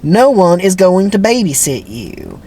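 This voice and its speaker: A man voicing a stern, menacing prison camp boss in his forties, taunting with drawn-out, swooping commands in a dramatic script reading, with background noise. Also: high-pitched, huge pitch swings, mellow, pitched above the performer's own voice, full character voice. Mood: gruff, commanding.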